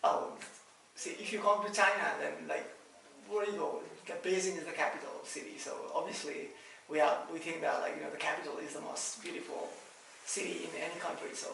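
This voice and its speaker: A young man with a Nepali accent gives casual, hesitant interview answers. His voice is fairly high with a creaky edge, heard from a little distance in a very echoey room. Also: steady tone, a little fast, fairly bright tone. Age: young adult. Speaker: interviewee, master's student. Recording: very echoey room, distant mic, faint noise.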